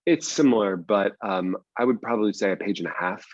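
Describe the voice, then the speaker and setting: A man's voice: fairly low pitch, brisk, no echo, highs cut off. A man speaking casually as an interview guest, explaining things in loose runs with repeats for emphasis. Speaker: writer and producer, interview guest.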